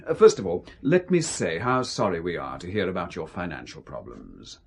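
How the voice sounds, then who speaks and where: An actor playing a suave, courteous, quietly menacing British intelligence man in a radio spy drama, urbane and evasive. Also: low-pitched, sing-song, moderate pace, creaky, slight background noise, heavily acted. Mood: measured, guarded. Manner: urbane, evasive, formally polite.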